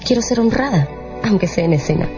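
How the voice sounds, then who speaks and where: An actress playing a tempestuous, seductive French courtesan in a theatrical, emotional radio drama style. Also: female voice, medium pitch, lively intonation, quick pace, close to an everyday voice. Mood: coolly seductive. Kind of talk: Spanish-language radio drama acting. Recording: dull radio drama with music behind.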